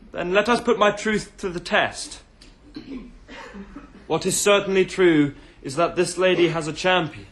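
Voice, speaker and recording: An actor playing a proud young emperor in a radio drama, declaiming grandly and defiantly. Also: male voice, sits high, a bit bright. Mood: cold command.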